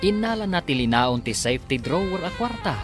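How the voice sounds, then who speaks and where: A man's voice: a little high, sing-song, a little fast. A man narrating and announcing a radio drama serial in a dramatic scripted style. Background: music.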